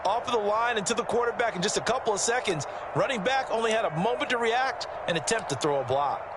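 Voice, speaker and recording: A man's voice: high voice, lively intonation, fast talker, noisy background. A man with an American accent voicing a confident, folksy football color commentator in a video game, explaining emphatically. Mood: confident, emphatic. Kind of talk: sports analysis in a video game.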